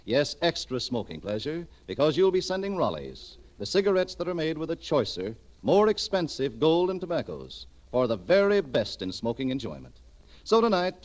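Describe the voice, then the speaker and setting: Lively male 1940s radio announcer. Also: a little high. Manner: polished, declamatory salesman delivery. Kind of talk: 1940s radio sponsor pitch. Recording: vintage 1940s radio broadcast.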